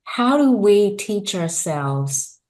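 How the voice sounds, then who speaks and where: A woman in the audience of a seminar asking careful, drawn-out questions. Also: mid-range voice, big pitch swings, darkish tone.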